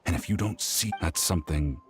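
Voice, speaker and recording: Man voicing a haunted game warrior, low voice, earnest and halting, regretful, soft music behind. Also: close to an everyday voice. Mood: regretful, resolute. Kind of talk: video game voice acting.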